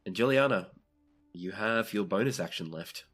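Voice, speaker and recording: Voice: low voice, animated, normal speed, a bit bright, faint music behind. A game master with an easygoing, conversational manner, narrating a tabletop roleplaying game.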